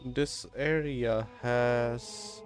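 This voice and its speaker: A man's voice: medium pitch, fairly even intonation, drawn-out words. A man streaming a video game, giving casual live commentary in short muttered phrases to himself. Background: game music.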